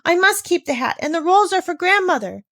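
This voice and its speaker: Homesick little Swiss girl played by a woman reader: high, earnest, pleading, distressed, storybook style. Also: big pitch swings, a little fast, fairly bright tone, exaggerated voice. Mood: upset, desperate. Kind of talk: children's storybook character reading.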